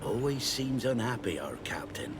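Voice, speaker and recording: A man's voice: mid-range voice, sing-song, moderate pace, noisy background, close to an everyday voice. An actor playing a shaken, defensive seafaring crewman in a fantasy game, rueful and old-fashioned in his turns of phrase. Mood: earnest, wistful. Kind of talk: video game dialogue acting.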